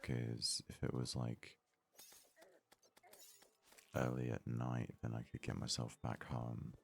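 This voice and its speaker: Very deep, gravelly ASMR man. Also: level tone, a little hiss or hum. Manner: unhurried, hesitant, thinking aloud. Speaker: gaming video host. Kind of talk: soft-spoken ASMR game commentary.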